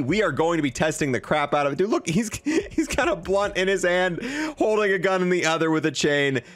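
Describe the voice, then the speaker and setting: A man hosting a game review video with excited commentary, talking in an enthusiastic, slangy bro style. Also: high voice.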